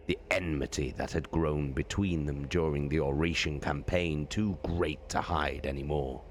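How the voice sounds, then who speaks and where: A man streamer giving a slow, deliberate dramatic reading of a novel. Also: a bass voice, slight background noise. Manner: slow, deliberate, drawn-out phrasing. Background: faint background music.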